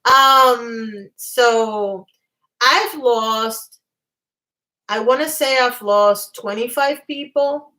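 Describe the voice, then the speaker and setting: This is a woman with a somewhat high voice who hosts a casual livestream. She rambles through her thoughts. Her voice is going hoarse, and she keeps clearing her throat. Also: drawn-out words, sharp tone, low-quality recording. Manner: chatty, reads viewer comments aloud. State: losing her voice. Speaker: livestream host.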